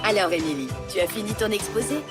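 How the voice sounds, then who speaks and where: A woman's voice: mid-range voice, expressive, quick pace, close to an everyday voice. A woman voicing a friendly adult woman with a questioning manner, in a French cartoon dub. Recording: music playing under the voice.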